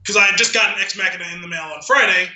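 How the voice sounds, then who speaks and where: A man co-hosting a casual podcast, telling rambling anecdotes in an animated, sardonic way and acting out both sides of conversations. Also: high voice, rapid-fire, a crowd in the background. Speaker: podcast co-host. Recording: roomy, echoey sound.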